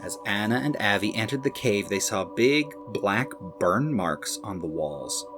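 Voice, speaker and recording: Animated male storyteller with wide-swinging pitch. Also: unhurried, music in the background. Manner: expressive, dramatic emphasis. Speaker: storyteller for a children's charity. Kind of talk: animated children's story reading.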